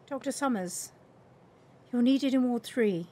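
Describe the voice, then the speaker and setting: A woman playing a brisk, clinical hospital medic in an audio drama. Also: a little high, warm tone. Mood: brisk, concerned.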